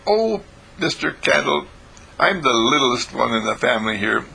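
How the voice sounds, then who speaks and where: A man's voice: medium pitch, big pitch swings, moderate pace, gravelly, sharp tone, slightly rough, exaggerated voice. A man voicing a mischievous, affectionate littlest boy of the family, speaking in a stage whisper in a storybook tale. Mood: gleeful, confiding.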